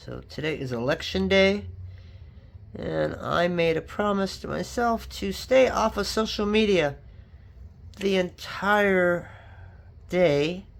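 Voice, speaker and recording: A craft vlogger who sounds a little sniffly and stuffed up. The speaker talks casually through a hands-on project and drifts into chatty asides. The voice sits at a middling pitch. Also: takes it slowly, vocal fry, long pauses. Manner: chatty and rambling, with frequent asides. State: sniffly, stuffed up from allergies or a cold. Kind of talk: casual tutorial chat while working.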